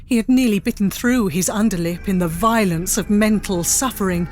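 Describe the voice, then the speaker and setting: A woman narrating a serious dramatic story in a grave, deliberate manner. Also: medium pitch, darkish tone.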